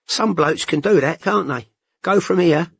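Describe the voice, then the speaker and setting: A man's voice: a little high, unhurried, creaky, slightly rough, voice pushed up, heavily acted. Man voicing a smug, cocky bloke. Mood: casual. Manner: blunt, grinning, slangy. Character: cocky working-class English man, chatty, smug. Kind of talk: audiobook character voice.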